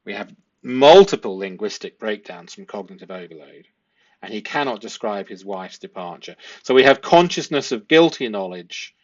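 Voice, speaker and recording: A man giving analytical commentary to viewers as a host, speaking emphatically and deliberately, often with rhetorical tag questions. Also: fairly low pitch, expressive, muffled recording. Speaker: host analysing a police interview.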